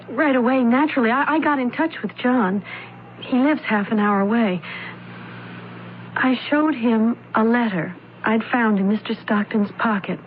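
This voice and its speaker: An actress playing an earnest, anxious young opera student in an old-time radio mystery, with careful, dramatic diction. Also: female voice, on the high side, lightly acted. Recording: narrow, tinny old radio recording, hiss.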